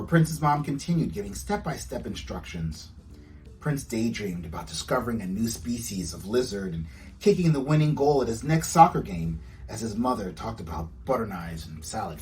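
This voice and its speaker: A man's voice: on the low side, a little mellow, far from the mic, low-quality recording. A man, an author, reading his children's storybook aloud to young listeners.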